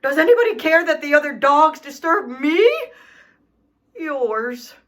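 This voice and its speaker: A woman's voice: sits high, animated, unhurried, fairly bright tone, pitched above the performer's own voice. A woman voicing an indignant, self-pitying male dog in a children's storybook, reading his letters with theatrical, aggrieved emphasis. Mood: defiant, complaining. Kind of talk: expressive children's storybook read-aloud.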